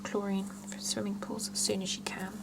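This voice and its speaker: A woman whispering softly in an ASMR roleplay as a salon scalp therapist, chatting and explaining gently and without hurry. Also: mid-range voice, flat delivery, normal speed, poor audio. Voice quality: soft breathy whisper with creaky edges.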